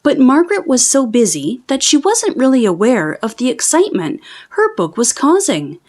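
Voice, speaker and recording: Female storybook reader for children, animated delivery with a very varied, fairly high pitch. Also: normal speed. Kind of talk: children's read-aloud narration.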